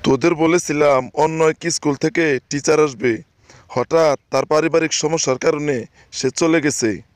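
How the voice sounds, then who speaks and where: A man's voice: a little high, unhurried, no echo, lightly acted. A man voicing a scolding, easily exasperated schoolteacher in a comedy cartoon. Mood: lecturing.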